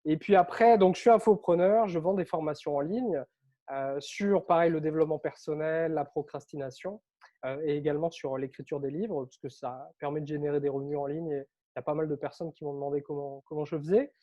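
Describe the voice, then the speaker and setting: Bright-voiced chatty French man, mellow tone. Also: a little high, brisk, lo-fi sound. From Réunion Island. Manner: lively, chatty, frequent fillers. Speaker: interview guest, author and coach. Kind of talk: casual interview storytelling.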